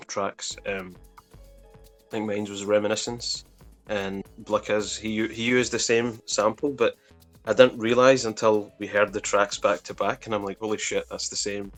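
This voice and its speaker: Low-voiced laid-back man, guest music producer. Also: fairly bright tone. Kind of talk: casual interview chat.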